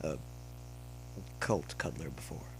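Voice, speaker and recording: A man voicing a cynical, awkward young male pony student, halting and deadpan, in a story. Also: rumbling low, background noise, voice pushed down. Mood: awkward, apologetic.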